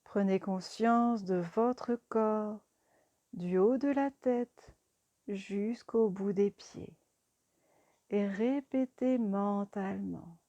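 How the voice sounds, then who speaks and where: A woman guiding a yoga relaxation meditation in a calm, soothing, deliberate manner. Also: medium pitch, no echo, dull audio. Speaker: yoga nidra teacher.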